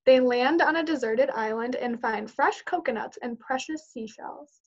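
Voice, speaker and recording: A young woman reads a children's picture book aloud as a teacher. Her voice sits fairly high. The sound is a little dull, as on a video call. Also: normal speed. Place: indoors, on a group video call.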